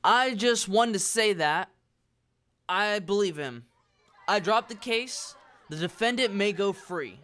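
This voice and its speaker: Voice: mid-range voice, animated, slow, a bit bright. An actor playing a stern, formal royal guard in an audio drama, speaking with command. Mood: decisive.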